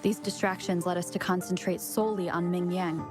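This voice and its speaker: An actress playing a serious, analytical investigator in a video game, speaking in a measured, formal way. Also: female voice, fairly low pitch, steady tone, over music, close to an everyday voice. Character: serious, analytical female investigator explaining a case. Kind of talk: English video game voice acting.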